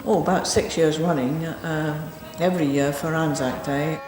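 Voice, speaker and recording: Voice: medium pitch, steady tone, moderate pace. An older local person reminiscing casually in an interview. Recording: echoey, reverberant space.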